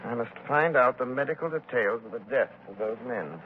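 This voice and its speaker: A man acts a composed gentleman sleuth in a 1930s radio drama. His medium voice is formal and sure of itself. It comes through thin and tinny, with faint hiss. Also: mid-range voice, brisk, close to an everyday voice. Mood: grave, assured. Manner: crisp, formal, authoritative.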